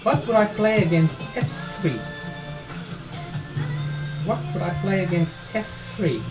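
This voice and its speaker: A man's voice: high voice, animated, unhurried, over music, low-quality recording. A middle-aged man with a South African accent, casually commentating his own game on a live stream, thinking aloud in an informal, matey way. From South Africa and Australia. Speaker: chess streamer and player.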